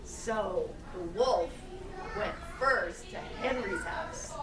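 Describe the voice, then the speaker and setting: A woman's voice: medium pitch, animated, drawn-out words. A woman telling a story live to young children in simple words, often prompting them with questions. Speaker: storyteller at a puppet show. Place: live show in a roomy space with children. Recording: roomy, distant mic, noisy live room.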